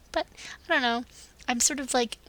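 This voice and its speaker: A woman's voice: high-pitched, pushed and bright, dry recording. A young woman with a stuffed-up nose from a summer cold, chatting casually and laughing often as she narrates her building game. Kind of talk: casual voice-over commentary.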